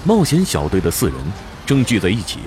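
A man's voice: lowish voice, huge pitch swings, a little fast, a little mellow. A man narrating a fantasy battle for a radio drama in a dramatic, scripted storytelling style. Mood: dramatic narration. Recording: noisy sound effects behind.

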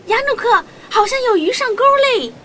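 A woman playing a cheerful, expressive little girl in a children's radio drama. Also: extremely high pitch, moderate pace, background noise. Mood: eager. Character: Anna, cheerful little girl fishing by the sea.

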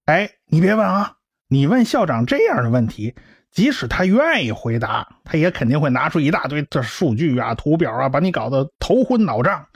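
A man's voice: on the high side, big pitch swings, normal speed, breathy edge, dry recording, studio quality, heavily acted. A man playing a cocky, talkative scientist in a radio drama, with a blustering, explanatory way of talking. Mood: chatty, smug. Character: cocky, talkative male scientist and science populariser, brash and lively. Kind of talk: Chinese radio drama acting.

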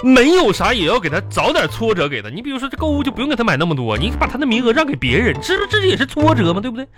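Aggrieved Northeastern Chinese husband, swooping voice. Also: male voice, very high voice, big pitch swings, rapid-fire, fairly bright tone, faint music behind, heavily acted. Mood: wheedling, aggrieved. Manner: stammering, repetitive, pleading indignation. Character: aggrieved, whiny henpecked husband and father. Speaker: radio comedy host and performer. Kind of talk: radio comedy sketch acting.

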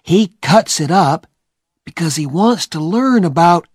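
Huck, a reader doing a frightened high-pitched boy. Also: animated, measured pace, husky, no echo, clean studio sound. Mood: urgent explaining. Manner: hushed, anxious whispering.

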